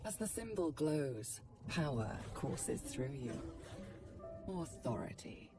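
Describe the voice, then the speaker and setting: Grave female game narrator: very low, unhurried, ominous storytelling over music. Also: sing-song, creaky, distant mic, heavily acted. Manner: measured, ominous. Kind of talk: scripted dramatic game narration.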